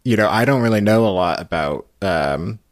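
A man hosting a recap podcast, talking casually and haltingly as he thinks it through. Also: fairly low pitch, animated, no echo, clean studio sound. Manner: halting, conversational, hedging. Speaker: podcast host.

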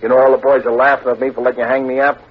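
An actor playing a curt, bullying older crime boss in a vintage radio crime drama, talking in threatening slang. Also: male voice, mid-range voice, level tone, racing, breathy edge, natural voice. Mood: menacing. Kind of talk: 1940s-50s radio crime drama acting. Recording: tinny, hissy vintage radio recording.

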